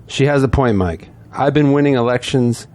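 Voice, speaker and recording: A man's voice: mid-range voice, moderate pace, a little mellow, natural voice. A man playing a weary veteran city councilman in an audio drama, subdued and conceding. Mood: resigned, concerned.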